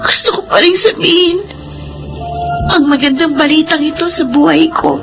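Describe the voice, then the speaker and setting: A woman playing an earnest young orphaned woman in a radio drama, speaking with deep emotion. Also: normal speed, creaky. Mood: tearful. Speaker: narrator of her own story. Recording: radio drama with music underneath. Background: soft music.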